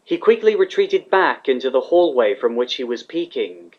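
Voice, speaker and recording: A man's voice: medium pitch, big pitch swings, normal speed. A man reading scripted fiction as the narrator of a dramatic story. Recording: slightly dull, lo-fi recording.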